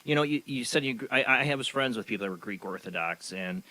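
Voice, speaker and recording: A man's voice: lowish voice, expressive, fast, pushed and bright, low-quality recording. A man hosting a paranormal radio talk show, asking rambling, hedging questions full of "you know"s. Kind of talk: casual interview hosting.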